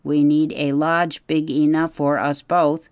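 A reader voicing a polite female robot who has adopted a gosling, speaking in a measured, formal way without contractions. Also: mid-range voice, level tone, clean tone, no room sound, studio quality, pitched above the performer's own voice, lightly acted. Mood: calm.

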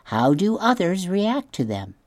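A woman's voice: fairly low pitch, sing-song, a little slow, a little mellow, pitched above the performer's own voice. Woman putting on a showy theatrical opossum. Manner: gushing, theatrical.

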